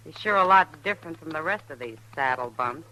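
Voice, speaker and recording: Casting: sociable Old West saloon gal, animated and bright, frank, saucy, radio drama delivery. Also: female voice, deep voice, a little fast, poor audio. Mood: relaxed, chatty. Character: worldly, sociable frontier saloon woman, plain-spoken. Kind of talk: old-time radio Western acting.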